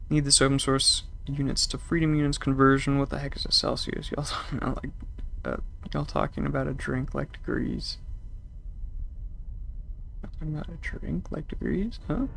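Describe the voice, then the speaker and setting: A man's voice: mid-range voice, steady tone, moderate pace, creaky, long pauses, a bit bright, slight background noise. A young man streaming a game, casually chatting and reading out chat messages in a rambling way. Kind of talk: casual chat with live chat while gaming.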